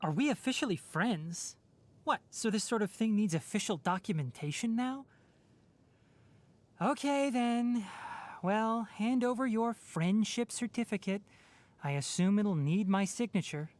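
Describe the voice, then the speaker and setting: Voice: medium pitch, unhurried, darkish tone, lightly acted. An actor voicing a young male fox-eared forest ranger in a video game, articulate and scholarly with a dry, wry delivery. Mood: dry, teasing. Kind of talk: scripted game character voice lines.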